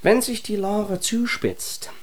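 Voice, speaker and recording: An actor playing a tentative secret police agent who reports officiously in a radio drama. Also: male voice, sits high, takes it slowly.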